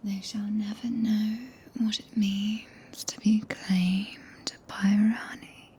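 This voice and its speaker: A very slow, hushed British witch of moonlight, played by a woman, whispers close to the ear in an ASMR roleplay. Her delivery is soft, mellow and level, regal and possessive yet tender, with archaic phrasing. A faint rumble sits under the voice. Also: flat delivery, lightly acted. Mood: entranced, possessive. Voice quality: breathy whisper. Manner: slow, soothing, commanding, archaic. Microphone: close binaural ASMR microphone.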